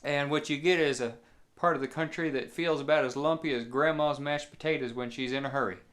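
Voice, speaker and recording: A man from southeast Indiana spins a tale in a rural southern Indiana accent. His voice sits a little high for a man. He talks in folksy, colloquial phrases and lets pauses fall between them. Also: fairly even intonation, a bit bright. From southeast Indiana, United States. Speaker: musician and storyteller. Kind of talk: folksy storytelling to camera.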